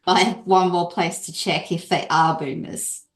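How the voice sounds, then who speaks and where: A woman chatting casually as a player in a tabletop roleplaying game, saying what her character does. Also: lowish voice.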